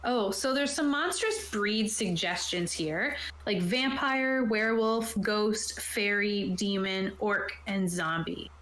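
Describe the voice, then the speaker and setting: A woman's voice: mid-range voice, slow, hardly any pauses, a bit bright, a little hiss or hum. Woman explaining casually in an echoey room. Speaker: host reading out game rules. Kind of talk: casual explanatory chat for a tabletop game stream. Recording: roomy, echoey sound.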